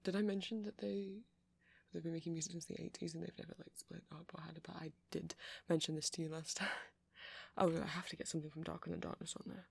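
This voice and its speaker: Man acting as nerdy young vampire, heavily creaky voice, breathy and soft, hesitant, self-deprecating, rambling, ASMR roleplay. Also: a little high, level tone, fast talker, no room sound, full character voice. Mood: nerdy, apologetic. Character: nerdy, flustered young male vampire, rambling sweetly about music.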